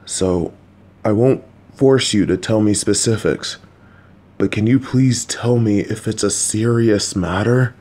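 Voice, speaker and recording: A man voicing a kind, worried, clueless father who talks to his child in a gentle, patient, anxious, rambling way. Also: on the low side, takes it slowly. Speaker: voice actor. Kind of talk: audio roleplay for a listener.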